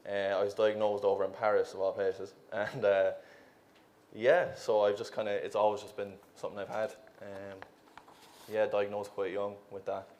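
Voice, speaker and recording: A man, introducing himself on a conference panel in a brief, relaxed and slightly unsure way. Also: on the low side, normal speed. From Ireland. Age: 20s.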